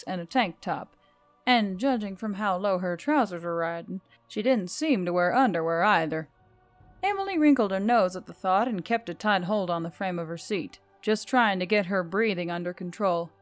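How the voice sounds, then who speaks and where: A woman narrates fiction in an audiobook style. Her voice sits at a middle pitch, and the recording is very dry, with little room sound. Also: lively intonation, normal speed, no room sound, faint music behind.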